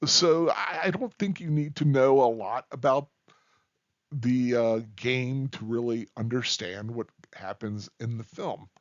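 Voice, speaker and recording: Male reviewer, slow and halting delivery, off-the-cuff ramble with emphatic stresses, slightly dull recording. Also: medium pitch, no echo. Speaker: film reviewer. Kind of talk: unscripted rambling review to the listener.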